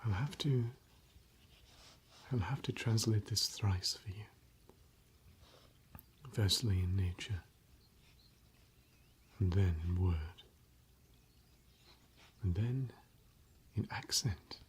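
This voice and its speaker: A man softly whispering as a gentle scholar of ancient elvish lore, in an ASMR roleplay. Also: on the low side, takes it slowly, long pauses, slight background noise. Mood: hushed. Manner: soft whispering. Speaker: ASMR performer.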